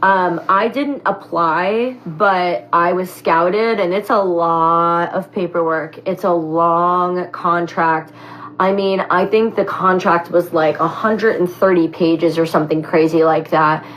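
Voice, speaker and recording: A raspy young woman answering fan questions in a casual, animated chat, full of "like" and "um". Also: fairly low pitch, takes it slowly, rough recording. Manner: animated, chatty, heavy on fillers like "like" and "um". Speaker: former reality show contestant.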